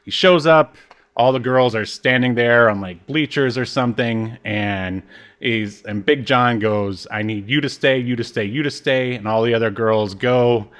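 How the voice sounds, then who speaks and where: A man's voice: medium pitch, moderate pace, fairly bright tone. A man co-hosting a podcast, recapping a show casually in a rambling, hesitant, amused way. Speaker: podcast co-host.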